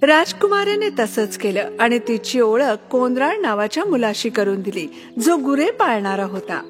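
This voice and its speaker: High-pitched woman narrating a children's story. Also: big pitch swings, moderate pace, soft background music.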